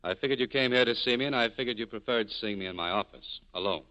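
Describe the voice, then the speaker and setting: An actor playing a cynical, guarded saloon keeper, terse and wry, in 1940s radio acting style. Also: male voice, medium pitch, fast talker, bright, forceful tone, lightly acted. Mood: guarded, firm. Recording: dull 1940s radio broadcast recording.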